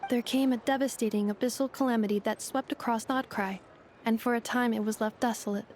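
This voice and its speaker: A woman voicing a polite female robot guide in a video game, formal, measured and analytical. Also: on the high side, steady tone, darkish tone, slight background noise, natural voice. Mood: solemn storytelling. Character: polite, precise young female robot guide, literal and composed. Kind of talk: video game character dialogue. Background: music.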